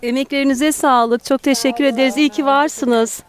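A woman with a high-pitched voice works as an interviewer on location. She asks short, casual questions and thanks people, speaking outdoors. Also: steady tone, brisk. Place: outdoors at a farm event.

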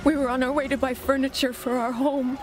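An actress playing a grieving young woman in a video game, gently and solemnly reading out her sorrowful letter. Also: high-pitched, level tone, fairly quick, close to an everyday voice. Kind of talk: scripted dramatic letter narration. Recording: music under the voice.

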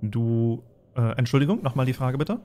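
A man's voice: mid-range voice, normal speed, warm tone, slight background noise. A man, narrating a tabletop role-playing game as game master.

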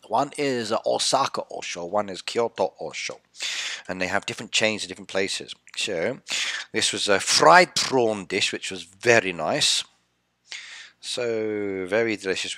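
Chatty man streamer, bright dry voice. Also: fairly low pitch, no room sound. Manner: chatty, playful, reads chat aloud and teases viewers. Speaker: game streamer. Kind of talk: casual live-stream chat. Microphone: headset with desk mic.

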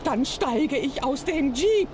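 A woman's voice: high-pitched, animated, slow pace, background noise. An actress playing a sensible, exasperated woman in a radio play, firm and nagging. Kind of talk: German radio play acting.

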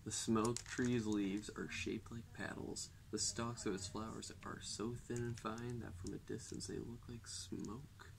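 Young male reader, low gravelly voice, deadpan delivery of a children's nature book. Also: measured pace, hardly any pauses, noisy background. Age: young adult. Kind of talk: reading a children's non-fiction book aloud. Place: indoors, public library, at a table.

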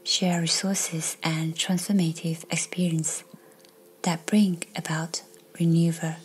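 Slow, near-whispering woman guiding meditation. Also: low voice, level tone, slight background noise. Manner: soft, breathy, near-whispered, soothing delivery. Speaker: meditation guide. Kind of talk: guided meditation narration, scripted. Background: music.

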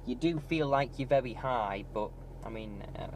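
A man's voice: mid-range voice, normal speed. A man giving a casual, rambling driving tour from inside his car, with many ums and restarts. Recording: loud car engine and road noise.

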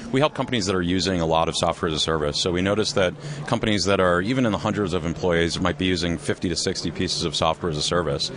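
A man who co-founded a software company, explaining his business in a measured, conversational interview at a trade expo. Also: sits low, noisy background. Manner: measured, explanatory, with frequent restarts.

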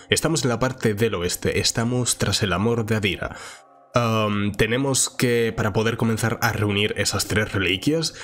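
A man with a nasal, congested voice and a sore throat from a cold, giving informal, chatty Let's Play commentary. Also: fairly low pitch. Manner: informal, chatty, thinking aloud. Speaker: gaming channel host. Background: game music.

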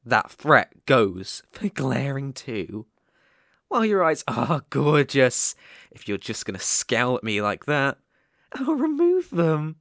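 A man's voice: medium pitch, huge pitch swings, takes it slowly, a bit bright, no echo, clean studio sound, full character voice. A man voicing a sadistic, possessive kidnapper in an ASMR roleplay, taunting and menacing with a mock-sweet manner. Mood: coldly threatening. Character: sadistic, possessive male psycho yandere kidnapper.

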